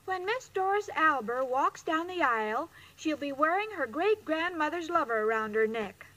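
A woman's voice: high voice, animated, normal speed, a bit bright. An actress playing a naive, lovestruck, dim-witted young woman in a 1940s radio comedy, earnest and literal, on an old recording. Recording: very noisy vintage radio recording.

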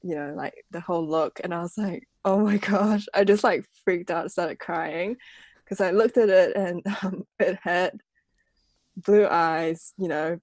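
A woman's voice: on the low side, measured pace, darkish tone, dry recording. A young woman from Malaysia chatting casually as a podcast co-host, giggling often and filling her talk with "like". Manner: giggly, many "like" fillers. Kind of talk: casual chat.

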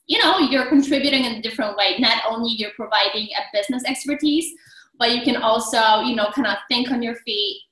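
A woman's voice: on the high side, fairly quick, a bit bright, roomy sound, poor audio. A woman in her twenties from Poland with a Polish accent, earnestly giving encouraging career advice to students on a video call. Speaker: alumni mentor.

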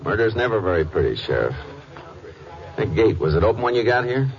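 An old, muffled radio recording of a Texas Ranger on a case. His voice is very low, his manner calm and methodical. He speaks with clipped, matter-of-fact authority, in classic radio drama style. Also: fairly quick. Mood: businesslike, focused. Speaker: actor in lead role and narrator. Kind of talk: 1940s-50s radio drama acting. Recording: dull old-time radio recording with music.

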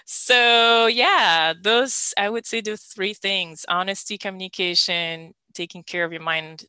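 A woman's voice: medium pitch, measured pace, pushed and bright, smooth, no echo. A woman from Belgium hosting a podcast and talking candidly about her love life, laughing often and restarting her sentences. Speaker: podcast host. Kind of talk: candid personal conversation.

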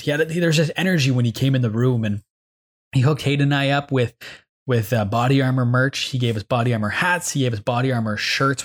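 A young Canadian man hosting a podcast, telling stories in a loose, enthusiastic way with lots of fillers. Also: medium pitch. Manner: rambling, enthusiastic storytelling with many fillers. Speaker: podcast host. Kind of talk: casual reflective monologue.

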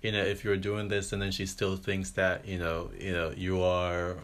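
Earnest Jamaican-accented man, lowish voice. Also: completely flat delivery, a bit bright. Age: 30s. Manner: earnest, measured, frequent "you know". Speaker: podcast host. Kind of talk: casual conversation.

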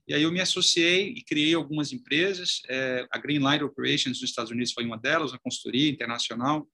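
A man's voice: mid-range voice, quick pace, bright, forceful tone. A man from Salvador, Brazil, in his forties, a chamber of commerce president telling his career story in an interview, articulate with frequent fillers. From Brazil (Salvador, Bahia). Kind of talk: conversational interview.

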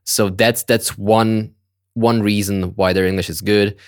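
A man's voice: on the low side, little pitch movement, measured pace, clean tone, dry recording, clean studio sound. A man in his twenties, a Russian native speaker from Kazakhstan, talking casually as a guest and often repeating words while he searches for them. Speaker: music producer guest. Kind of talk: casual conversation.

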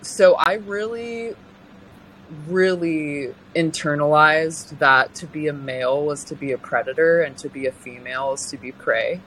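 A young woman from California with a deep voice, giving personal testimony as an interview guest in an earnest, halting way, full of pauses and restarts. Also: a bit bright, slight background noise, poor audio.

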